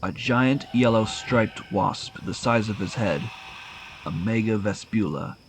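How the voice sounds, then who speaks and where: A man's voice: fairly low pitch, measured pace, noisy background. A man narrating a scripted audio drama as its storyteller. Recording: loud music behind the narration. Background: loud music.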